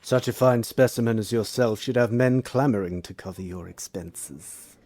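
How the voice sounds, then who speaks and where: An actor playing a suave, sinister Victorian gentleman in an audio drama, with formal, courtly phrasing. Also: mid-range voice, normal speed, close to an everyday voice. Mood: smooth, flirtatious. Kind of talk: theatrical period audio drama acting.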